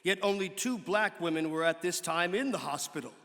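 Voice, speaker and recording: A man with a slightly high voice reads archaic formal prose as a dramatic performance. His delivery is measured and declamatory. The sound carries the long reverb of a large hall. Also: a bit bright. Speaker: actor giving a dramatic reading. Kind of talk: dramatic reading of an 18th-century pamphlet.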